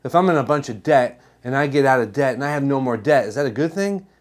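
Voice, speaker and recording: A man's voice: medium pitch, expressive, quick pace. Emphatic American man giving a talk. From New Jersey, United States. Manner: emphatic, rhetorical questions, repeats points for effect. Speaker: chiropractor giving a health talk. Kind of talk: educational presentation to an audience.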